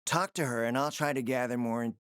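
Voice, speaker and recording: A man's voice: mid-range voice, a little fast, fairly bright tone, clear, resonant tone, no room sound, clean studio sound, natural voice. An actor playing a scheming, foul-mouthed man in a post-apocalyptic video game, confident and calculating, with an emphatic, sardonic delivery. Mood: wary, insistent. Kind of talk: dramatic video game dialogue.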